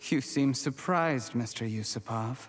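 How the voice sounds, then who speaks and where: An actor playing an ambitious, resentful commoner schemer in a video game, speaking in a measured, controlled way. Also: male voice, medium pitch, faint music behind, lightly acted. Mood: cold, bitter. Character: ambitious male commoner schemer, servile surface, bitter and resentful.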